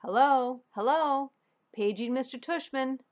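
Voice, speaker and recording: A comic old lady announcer from a storybook, put on by a woman. The voice is high and singsong, pushed a little louder, with slightly dull sound. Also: a little slow. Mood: mock-official.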